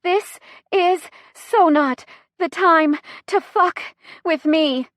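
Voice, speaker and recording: Woman acting a shrill, strained woman in labor. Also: expressive, normal speed, fairly bright tone, no room sound, clean studio sound. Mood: pained, furious. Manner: strained, indignant, clipped bursts. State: panting through pain. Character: bossy, sharp-tongued pregnant woman in painful labor.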